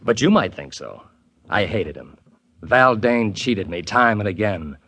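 A man's voice: fairly low pitch, expressive, a little slow, fairly bright tone, breathy edge, heavily acted. An actor playing a bitter, mocking male ghost writer in a 1950s radio drama, with a florid, sardonic delivery. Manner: florid, sardonic, prone to laughing fits.

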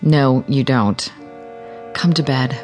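An actress playing an anxious, sharp-tongued young mother in a radio drama. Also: rumbling low, slow pace, music in the background. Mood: dry, reproachful.

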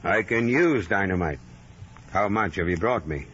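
An actor playing a wary, surly guerrilla leader worn down by being hunted, in vintage radio drama style. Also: male voice, deep voice, lively intonation, moderate pace, fairly bright tone, breathy edge, heavily acted. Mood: suspicious. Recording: muffled old radio drama recording.

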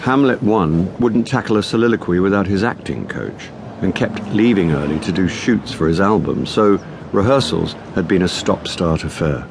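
An English man playing a weary, wry middle-aged actor in a radio drama, narrating and chatting with deadpan wit. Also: deep voice, normal speed, gravelly, background noise.

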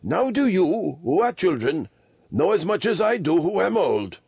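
Storybook role: aged Tibetan lama acted by a man, humble and earnest, high lilting voice, dry close sound. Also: sing-song, unhurried, gravelly, a little mellow, slightly rough, no room sound, full character voice. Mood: patient, earnest.

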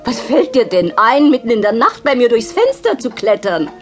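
Bossy female dream seller, high voice, very lively pitch, mocking, scolding, children's audio drama, music behind. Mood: indignant. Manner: brisk, scolding, teasing.

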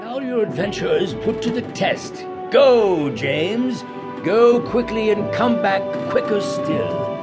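An actor playing a shrewd, fatherly merchant uncle who speaks slowly and gives advice. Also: high voice, expressive, over loud music. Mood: advising. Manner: slow, advising.

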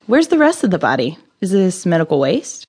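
An actress playing a breezy, irreverent amateur sleuth who loves gossip, wry and chatty in an audio drama. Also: female voice, on the low side, very animated, fairly quick, a little mellow, husky. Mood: brisk, curious.

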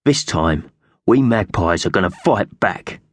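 A man's voice: low-pitched, animated, measured pace, breathy edge, no room sound, exaggerated voice. Guy doing a cruel magpie boss from a kids book, solemn n menacing, hard edge, dry close sound. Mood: menacing, vengeful. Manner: solemn, commanding, hard-edged. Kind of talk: children's storybook character voice.

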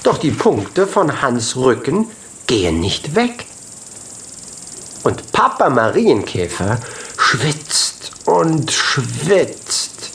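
Very animated, slow male storyteller. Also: medium pitch, creaky, slight background noise. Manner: expressive storytelling in short measured phrases. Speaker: audiobook narrator. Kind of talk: scripted children's story narration.

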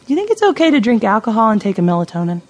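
An actress playing a brash, confident amateur sleuth in an audio drama, blunt and sarcastic. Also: female voice, fairly high pitch, big pitch swings, very fast, a little mellow. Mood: confident, explaining. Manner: sarcastic, blunt, self-assured.

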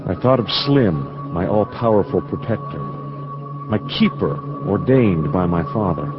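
Low-voiced rapturous young dreamer, music behind. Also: male voice, expressive, a little slow. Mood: brooding, yearning. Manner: ornate, intense, poetic delivery. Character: privileged, rapturous young male dreamer recounting his story. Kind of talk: dramatised first-person radio narration. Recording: dull lo-fi audio under loud music.

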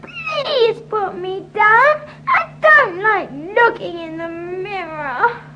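A fussy little talking house cat for an audiobook drama. The voice is extremely high and swings around in pitch, slow in delivery, over a noisy track. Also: female voice, very animated, exaggerated voice. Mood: plaintive.

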